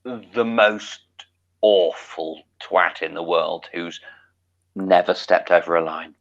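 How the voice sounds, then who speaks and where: A man co-hosting a casual comedic chat, wry and dry with drawn-out emphasis. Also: deep voice, vocal fry. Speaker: podcast co-host. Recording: slightly dull lo-fi audio.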